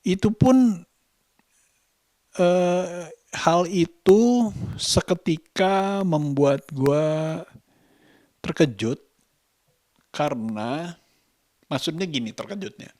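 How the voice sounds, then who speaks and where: A man's voice: fairly high pitch, darkish tone, dry recording. A man talking reflectively in a casual vlog, hesitant and often searching for words. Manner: hesitant, halting, searching for words with repeated phrases. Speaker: vlogger and host.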